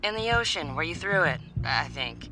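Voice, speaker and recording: Young female hacker, lowish voice, cool, wry, game cutscene, music behind. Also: big pitch swings, normal speed, pushed and bright, low-quality recording. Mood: dry. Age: young adult. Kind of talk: video game cutscene acting.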